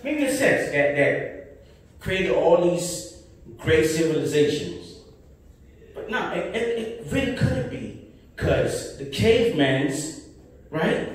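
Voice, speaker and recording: A young New man works through a stand-up set with an animated, lively pitch, stretching his words out slowly and tossing in "right?" after every thought. He sounds fairly high for a man, and he is caught by a distant camera in a small club, so the voice rings in a very echoey room. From Brooklyn, New York. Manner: slow, rambling delivery with many asides and repeated "right?". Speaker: stand-up comedian. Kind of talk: open-mic stand-up set. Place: small club stage with tiled wall, indoors. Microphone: handheld mic, filmed by a far camera.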